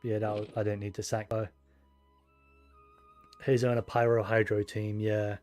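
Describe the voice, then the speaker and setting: A man chatting casually on a game livestream, rambling and thinking aloud in a low-key way. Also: flat delivery, normal speed, darkish tone. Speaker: game streamer. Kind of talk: casual livestream chat over gameplay. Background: game music.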